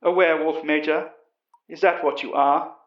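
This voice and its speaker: An echoey, slightly muffled recording carries a man acting a composed British professor. His highish voice is measured and literary, narrating a horror tale with calm authority. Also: close to an everyday voice. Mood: calm questioning. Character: calm, commanding British professor recounting a monster investigation. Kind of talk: dramatic first-person horror narration. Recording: dull, roomy recording.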